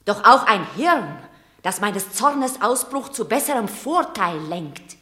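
A woman's voice: a little high, big pitch swings, takes it slowly, pushed and bright, echoey room, heavily acted. An actress playing a shrewd, commanding mother urging her son in a radio drama.

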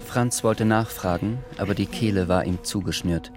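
Low-voiced male radio drama narrator. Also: normal speed, music in the background. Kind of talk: scripted literary narration.

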